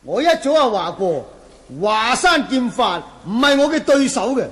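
A man's voice: very high voice, big pitch swings, moderate pace, fairly bright tone, full character voice. A man acting in a Cantonese martial-arts radio drama from Hong Kong, voicing a boastful, menacing, lecherous bandit. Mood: taunting. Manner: boastful, taunting.

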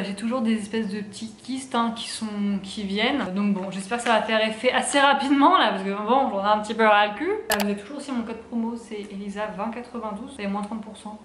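A woman's voice: medium pitch, a little hiss or hum. Young French woman, slangy, echoey room. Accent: French from France. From France. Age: 20s. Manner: slangy, sweary, expressive. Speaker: vlogger. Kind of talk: casual vlog chat. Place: small apartment kitchen and living room, indoors.

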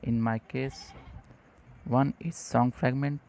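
A man narrating a scripted software tutorial demo in short, halting phrases. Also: mid-range voice, steady tone, a little hiss or hum. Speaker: tutorial presenter. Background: music playing behind the voice.

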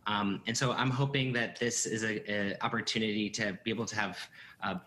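A man's voice: lowish voice, fairly even intonation, brisk, sharp tone, a little hiss or hum. A man in his thirties with an American accent, chattily moderating a panel over a video call. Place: indoor room at home on a video call. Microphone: computer video call.

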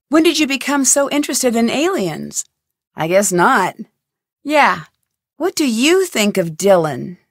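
A woman's voice: on the high side, dry recording. An actress voicing a curious young female detective in a video game, asking direct, probing questions. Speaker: game protagonist. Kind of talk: studio video game voice lines. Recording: dry studio recording.

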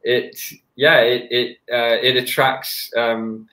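A young man from Wales with a Welsh accent, a conductor talking softly over a video call, with many fillers and restarts. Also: mid-range voice, level tone, slow pace, roomy sound, poor audio. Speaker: orchestra conductor, guest. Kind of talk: casual interview chat. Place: small room at home. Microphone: headset on a video call.

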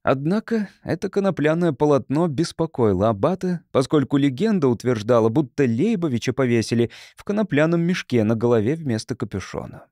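A man's voice: a little high, warm tone, no echo, clean studio sound. A man reading literary fiction as a scripted audiobook narration.

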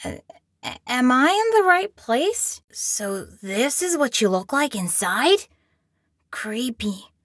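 A woman's voice: high voice, very animated, takes it slowly, a bit bright, dry recording, studio quality, heavily acted. A woman voicing a young boy in a video game, scared but plucky and resourceful, speaking in an earnest, anxious way. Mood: wary, curious.

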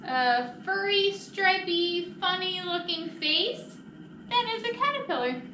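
A woman's voice: very high-pitched, animated, takes it slowly, sharp tone, exaggerated voice. A woman voicing a small, boastful, stubborn mouse in a picture-book read-aloud, cocky and insistent, in an echoey room. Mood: breezy, confident. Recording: echoey room, distant mic, noisy.

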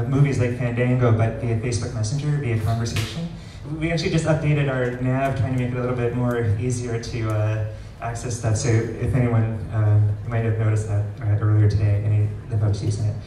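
Dark-voiced man presenting in a roomy space. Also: mid-range voice. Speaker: presenter demonstrating software. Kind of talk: live product demo talk. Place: large wood-ceilinged meetup room, indoors. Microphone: far camera recording the room. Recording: roomy, distant pickup with faint noise.

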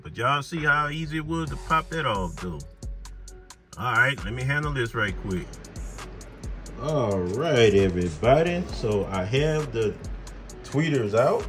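A man's voice: mid-range voice, expressive, a little slow, a bit bright. American man, folksy how-to chat over music. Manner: folksy, thinks aloud while working. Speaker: host, car mechanic. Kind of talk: casual hands-on how-to chat to camera.

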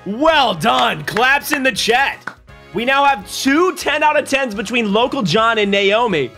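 A young man in his twenties hosting a stream, reacting to clips with excited, laughing commentary from a small home room. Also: very high voice, unhurried, faint music behind. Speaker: streamer host. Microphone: boom mic on arm.

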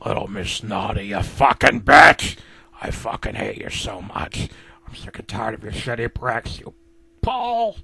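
A man's voice: fairly low pitch, very animated, extremely slow, gravelly, few pauses, breathy edge, no room sound, pitched below the performer's own voice, exaggerated voice. A man voicing a furious, foul-mouthed grown cartoon grump who rants insults at the top of his lungs. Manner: shouting, ranting insults.

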